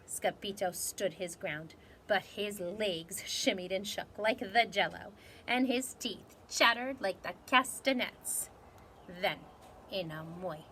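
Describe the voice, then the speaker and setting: A woman reading a children's story aloud in an animated, dramatic way. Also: medium pitch, slight background noise, rough recording. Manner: animated, dramatic delivery with measured phrasing. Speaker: storybook reader.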